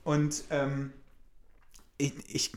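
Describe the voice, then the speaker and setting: A man's voice: fairly high pitch, monotone, very slow. A man in his late thirties telling a casual anecdote, rambling with frequent fillers. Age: 30s.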